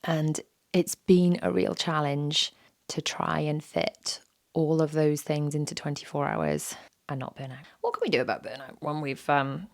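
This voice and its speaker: A woman in her thirties talks through her podcast in a low, gravelly voice, roughened by a sore throat. She is candid and a little self-deprecating, and she often repeats a phrase for emphasis. The sound is close and dry, with no room echo. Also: expressive, unhurried. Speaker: podcast host. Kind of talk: reflective solo podcast talk.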